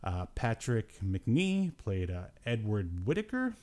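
A man's voice: lowish voice, wildly swooping pitch, slow pace, dark tone. A man in his forties hosting a podcast, chatting casually about films in a rambling way with wry asides. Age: 40s. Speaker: podcast host. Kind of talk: casual film review chat.

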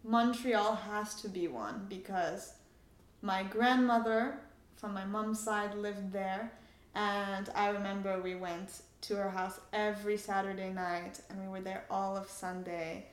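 A young woman, a native Farsi speaker who grew up in Canada and France, talks in a roomy, echoing space. She explains herself conversationally and articulately as an interviewee, at a medium pitch. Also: steady tone, a little slow. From Canada and France, Iranian family. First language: Persian (Farsi). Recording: echoey room.

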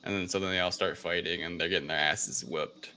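A man with a very low-pitched but bright, forward voice retells a film in a casual podcast chat. His delivery is rambling and slangy, dropping in quoted lines as he goes. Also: steady tone, fast. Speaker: podcast co-host.

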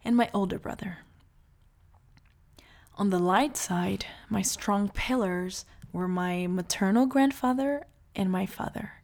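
A woman in her late thirties from Brazil, calmly telling her life story in a reflective monologue. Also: medium pitch, takes it slowly. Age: 30s.

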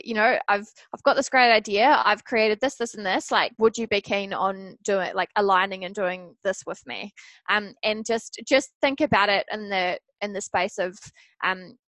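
A woman's voice: mid-range voice, fairly even intonation, normal speed, fairly bright tone, no echo. Female expert guest, mid-range voice, dry close sound, chatty and advising. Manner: conversational, advising. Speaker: social media expert guest. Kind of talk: casual interview answers.

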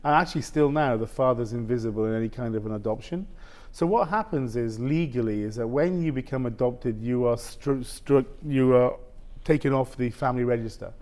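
A man in his fifties, a guest telling a personal story in casual conversation, halting and full of restarts. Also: mid-range voice, darkish tone. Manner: halting, with many restarts and "basically". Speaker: interview guest.

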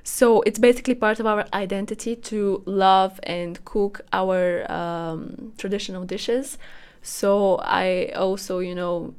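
A woman's voice: medium pitch, steady tone, a little slow, few pauses, a little mellow, smooth. Young woman hosting a podcast. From Bulgaria. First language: Bulgarian. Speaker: podcast host. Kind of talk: casual conversational interview.

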